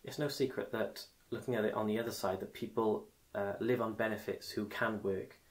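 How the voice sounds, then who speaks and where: A man interviewing a candidate on the radio, reading out prepared questions briskly. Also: on the low side, steady tone.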